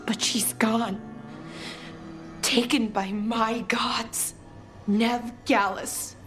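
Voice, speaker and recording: A tender young elf woman in a fantasy game. Her high voice moves slowly and sincerely, full of wistful grief, with soft music behind her. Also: steady tone. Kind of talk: dramatic video game acting.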